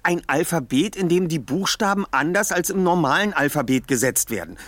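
A man's voice: on the high side, expressive, fairly quick, fairly bright tone, dry recording. An actor playing a clever, methodical young male detective in a German audio drama, explaining things precisely. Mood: analytical, deliberate.

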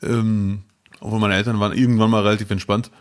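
A man's voice: lowish voice, little pitch movement, quick pace. A man co-hosting a podcast, telling childhood stories in casual chat and laughing often. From Bielefeld, Germany. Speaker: podcast co-host.

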